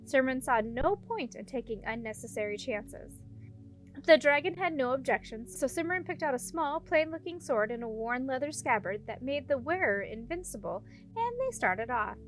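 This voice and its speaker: High-voiced woman reading a storybook. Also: big pitch swings, moderate pace, faint music behind, low-quality recording. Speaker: audiobook reader. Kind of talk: scripted storybook narration.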